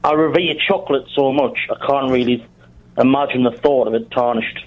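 A man's voice: medium pitch, brisk. A man calling in to a radio show, chatty and teasing. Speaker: caller. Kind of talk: casual phone-in chat. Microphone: phone. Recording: muffled phone line.

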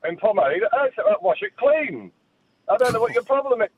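A man's voice: extremely high pitch, very animated, fairly quick, slightly rough, dry recording. A man calling in to a radio phone-in, casually teasing the host. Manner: cheeky, teasing. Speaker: caller. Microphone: telephone. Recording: tinny phone line.

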